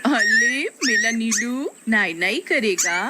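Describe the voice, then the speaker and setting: A woman voicing a doting, excitable village woman in a cartoon, exclamatory and cooing. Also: a little high, slow pace, heavy background noise. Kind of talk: cartoon moral story acting.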